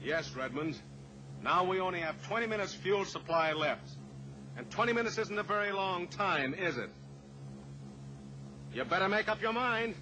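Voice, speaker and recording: An actor playing a steely heroic cowboy pilot in an old-time radio drama, measured, taunting and authoritative. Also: male voice, high voice, expressive, bright, forceful tone. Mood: grim, pressing. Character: steely heroic cowboy pilot, coolly pressuring a captive killer. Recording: noisy old radio recording, engine drone.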